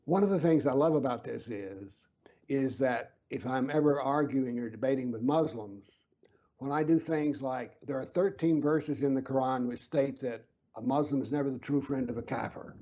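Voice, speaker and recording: A man being interviewed who explains his views earnestly and emphatically, sometimes dropping to a low whisper to stress a point. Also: moderate pace, warm tone, dull audio. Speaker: interview guest, author.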